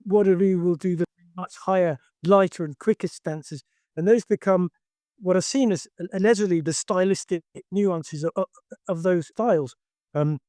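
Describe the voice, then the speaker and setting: A man's voice: high-pitched, dark tone, dry recording, clean studio sound. An older man who teaches karate and talks emphatically in a discussion, restarting his sentences often.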